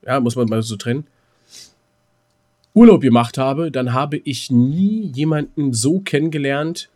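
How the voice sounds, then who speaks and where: A man's voice: medium pitch, unhurried, darkish tone. A man co-hosting a casual, rambling podcast, often stammering and repeating words with a jokey, teasing manner. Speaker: podcast co-host.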